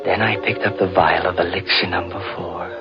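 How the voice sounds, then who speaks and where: A man's voice: low voice, steady tone, fairly quick. An actor playing a cold, scheming young man who narrates his own crime in 1940s radio style. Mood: brooding narration. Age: young adult. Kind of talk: 1940s radio acting, first-person narration. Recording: dull old radio broadcast with music.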